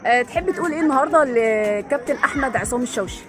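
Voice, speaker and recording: A young woman with an Egyptian accent interviewing young football players outdoors, asking brisk, coaxing, repeated questions. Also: high-pitched, expressive, very noisy. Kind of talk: quick street interview. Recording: outdoor clip with music and crowd. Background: music and crowd chatter.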